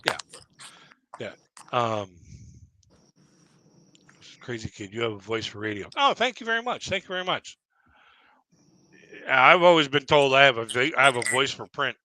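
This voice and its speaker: A man's voice: medium pitch, sing-song, normal speed, pauses often, pushed and bright, slight background noise. A man hosting a casual livestream chat, rambling along with lots of "you know, like" fillers.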